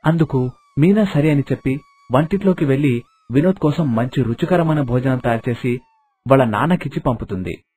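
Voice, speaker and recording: A man's voice: mid-range voice, brisk, a little mellow, husky. A man reading out a scripted story as a storyteller. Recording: narration over background music.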